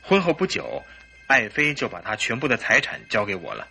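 A man's voice: mid-range voice, huge pitch swings, a little fast, creaky, bright, forceful tone, soft background music, full character voice. A man acting a polite, earnest, troubled English husband in his early thirties in a Chinese radio drama. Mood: earnest recounting.

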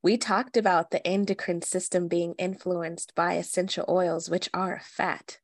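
Brief: young adult woman, podcast co-host, casual and animated, slightly low pitch, dry close sound. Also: measured pace, dry recording. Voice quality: speaks from the belly with a feminine voice. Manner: animated, laughs often, many fillers and restarts. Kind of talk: casual conversational chat.

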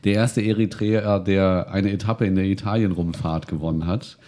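Male co-host, lowish mellow voice, loose rambling chatter full of fillers. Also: steady tone, normal speed. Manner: rambling, many fillers and restarts. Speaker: podcast co-host. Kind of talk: casual chat about reality TV.

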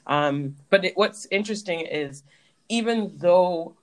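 A woman's voice: lowish voice, animated, unhurried, darkish tone, rough recording. A woman, co-hosting a podcast, telling a personal story in a reflective way with lots of fillers. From Canada. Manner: reflective, uses many fillers like um and like. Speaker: podcast co-host. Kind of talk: personal storytelling in casual chat.

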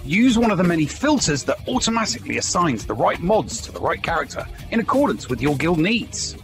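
High-pitched, animated male ad announcer. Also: brisk, soft background music. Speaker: advertisement announcer. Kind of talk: scripted promotional read.

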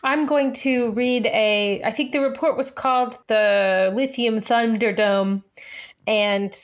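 A woman's voice: fairly high pitch, fairly even intonation, slow pace. A woman co-hosting a podcast in a conversational way, explaining context and putting questions to her partner. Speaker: podcast co-host.

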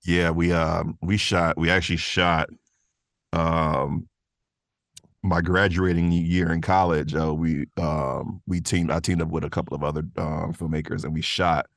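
A man's voice: low-pitched, little pitch movement, a little slow, vocal fry, fairly bright tone, no echo, studio quality. A man speaking as an interview guest, telling stories in a casual, modest, easygoing way. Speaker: interview guest, voice actor and creator. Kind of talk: casual conversational storytelling.

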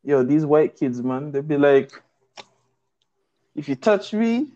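A woman trading crude jokes in a relaxed podcast chat, breaking into laughter again and again. She speaks slowly, with a pitch that swings widely from phrase to phrase. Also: muffled recording, slight background noise. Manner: laughs often, crude joking. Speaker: podcast co-host. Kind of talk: casual chat.

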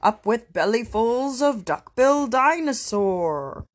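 Grim storybook Tyrannosaurus voiced by a woman, bloodthirsty and rowdy, forceful drawn-out chanting. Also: low-pitched, exaggerated voice. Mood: rowdy, fierce. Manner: shouted, emphatic chanting.

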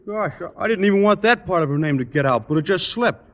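An actor playing a slow-witted, lanky teenage football player who talks ungrammatically and mangles words, in a 1940s radio sitcom. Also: male voice, sits high, lively intonation, muffled recording. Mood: dopey, sheepish. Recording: noisy old radio broadcast.

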